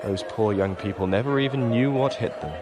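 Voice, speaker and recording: An actor playing a courteous, world-weary old gentleman in an audio drama, courtly and gentle. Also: lowish voice, a little fast, music in the background, crowd noise, natural voice. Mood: courteous, amused. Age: older.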